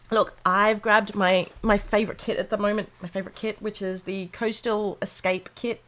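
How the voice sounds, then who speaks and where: A woman hosting a casual craft livestream from a room at home, chatty and bubbly, greeting viewers by name, her voice a little hoarse from illness. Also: on the high side, a bit bright. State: slightly hoarse, losing her voice after being sick. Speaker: livestream host of a planner craft show. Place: room at home with a door open to rain.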